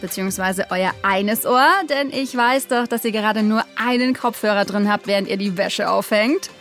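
An actress playing a gushing, playful young podcast host in a chatty studio interview within an audio drama. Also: female voice, medium pitch, moderate pace, a bit bright, soft background music, natural voice.

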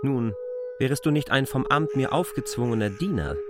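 A man's voice: mid-range voice, expressive, moderate pace, loud music behind the voice, close to an everyday voice. An actor in a literary radio drama playing a proud, domineering master who speaks formally and argumentatively to his former servant. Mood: frank, condescending. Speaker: lead actor.

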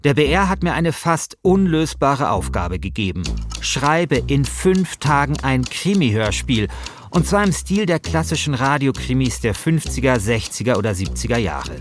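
A man's voice: medium pitch, very animated, normal speed, faint music behind. A man, hosting a podcast in a wry, self-ironic way. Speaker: podcast host and journalist. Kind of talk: scripted podcast narration and casual chat.

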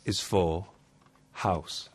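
Very slow, low-voiced male announcer. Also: steady tone, slight background noise. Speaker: announcer of chapter titles. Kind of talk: scripted alphabet title announcements.

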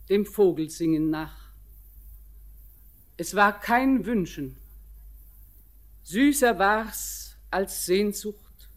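An actress playing an old blind grandmother in a classic radio play, speaking measured verse. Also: medium pitch. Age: older. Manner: slow, measured verse speech.

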